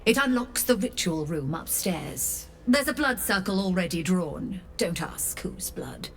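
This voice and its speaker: Slow, haughty infernal merchant. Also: female voice, fairly low pitch, lively intonation, a little hiss or hum. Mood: businesslike, secretive. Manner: measured, theatrical, haughty. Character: shrewd, imperious infernal merchant woman, latchkeeper of Hells. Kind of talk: video game character acting.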